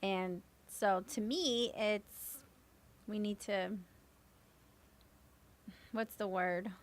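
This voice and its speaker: A woman from the West Coast with a raspy voice, answering interview questions casually with lots of "you know.". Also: mid-range voice, drawn-out words. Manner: relaxed, many fillers like "you know". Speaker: guest hip hop artist.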